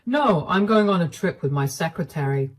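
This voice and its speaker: A woman's voice: lowish voice, lively intonation, moderate pace. A woman voicing a cold, dismissive middle-aged husband who talks down bluntly. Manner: condescending, blunt.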